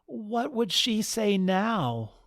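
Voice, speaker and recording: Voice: mid-range voice, sing-song, drawn-out words, gravelly, dry recording, voice pushed up, full character voice. A storyteller voicing an earnest, worried young groom.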